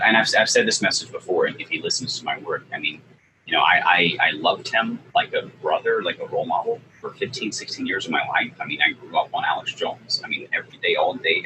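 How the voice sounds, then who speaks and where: A man speaking as an interview guest in a monotone. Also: sits low, noisy background. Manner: monotone, forceful. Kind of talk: interview conversation.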